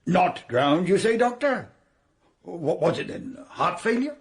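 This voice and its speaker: A man's voice: high voice, sing-song, measured pace, creaky, exaggerated voice. An actor playing a blustering, agitated older English father in a 1920s murder mystery radio play, with faint noise behind. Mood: shocked.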